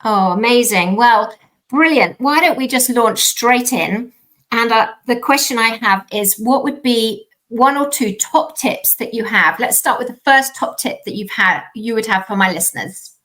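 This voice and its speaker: A woman hosting her podcast, welcoming and questioning a guest with encouraging, drawn-out emphasis. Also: fairly high pitch, fairly bright tone, poor audio. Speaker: podcast host.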